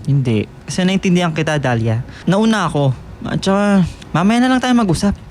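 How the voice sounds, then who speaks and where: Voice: mid-range voice, animated, a little fast, darkish tone, a little hiss or hum, natural voice. A performer playing an aloof, irritated young male college student in a radio drama, curt and dismissive. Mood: cool, dismissive. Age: young adult. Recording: music bed under the voice.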